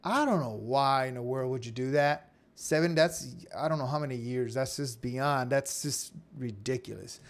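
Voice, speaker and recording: A man's voice: medium pitch, moderate pace, few pauses. A man giving an informal screen-share tutorial as an online instructor, talking conversationally and often checking in with "okay?" or "right?".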